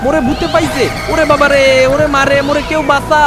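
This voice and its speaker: A man voicing a terrified villager pleading for his life in a horror cartoon, wailing in a Barisal accent, under loud music. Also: very high voice, level tone, quick pace. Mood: panicked terror. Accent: rural southern Bangladeshi (Barisal).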